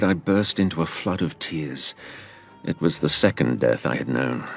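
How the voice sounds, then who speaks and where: A man playing a grave narrator who recounts his boyhood adventure in measured, literary storytelling for an audio drama. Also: low voice, breathy edge, full character voice.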